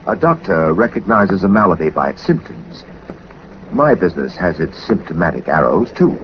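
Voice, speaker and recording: An actor playing a suave, methodical gentleman detective in 1940s radio drama style, urbane and theatrical, with wordy, dry self-possession. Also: sits low, sing-song, normal speed. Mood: dryly amused. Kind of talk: 1940s radio drama acting, first-person narration. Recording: muffled, noisy old 1940s radio recording.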